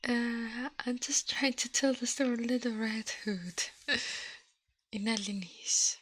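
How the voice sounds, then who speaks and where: A woman whispering as an ASMR livestream host, in a breathy murmur with playful alien roleplay and repeated syllables. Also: fairly high pitch, measured pace, fairly bright tone, no echo.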